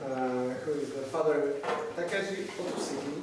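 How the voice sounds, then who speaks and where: A man's voice: mid-range voice, moderate pace. Distant, echoey young man introducing a lecturer. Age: 30s. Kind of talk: formal introduction, read from notes. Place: small lecture room with seated audience, indoors. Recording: echoey room from afar, noisy background.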